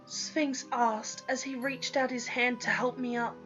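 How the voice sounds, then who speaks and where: A young woman narrates a first-person tale in a dramatic storytelling style. Her voice is fairly high and very bright. The recording is dull and lo-fi, with music playing behind her. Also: fairly even intonation, unhurried. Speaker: reader of own story.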